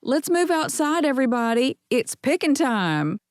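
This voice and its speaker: A woman's voice: mid-range voice, expressive, measured pace, a little mellow, no echo, studio quality. A woman voicing an effusive, chatty middle-aged mother greeting a guest in an audiobook. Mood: bubbly.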